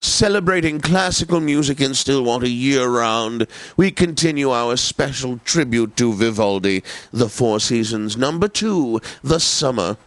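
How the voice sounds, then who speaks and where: An actor playing a pompous, genteel classical radio DJ in a game, announcing with theatrical, drawn-out phrasing. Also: male voice, medium pitch, no echo, lightly acted. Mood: grand, savoring.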